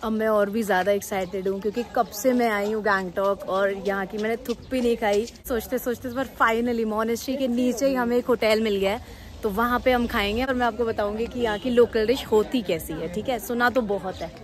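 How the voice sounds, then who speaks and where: A woman's voice: a little high, steady tone, brisk. A woman talking casually to camera as a travel vlogger, chatting away and switching between Hindi and English. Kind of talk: casual vlog talk to camera. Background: background music.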